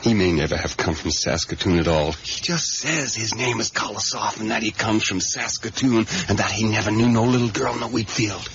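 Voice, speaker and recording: An actor playing a troubled, obsessive diner worker in a radio drama, speaking in a halting, insistent, intense way. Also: male voice, on the low side, lightly acted. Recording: dull, noisy 1980s radio broadcast.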